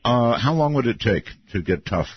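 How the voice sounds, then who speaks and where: An older man with a deep voice hosting a late-night radio interview, asking long, meandering questions and laughing often. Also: big pitch swings, moderate pace, breathy edge, no room sound. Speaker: radio talk show host. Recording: muffled lo-fi radio archive.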